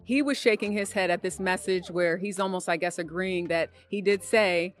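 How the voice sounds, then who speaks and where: A woman with a fairly low voice reports in an explanatory, conversational news style. Faint music plays behind her. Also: moderate pace. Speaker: trial reporter.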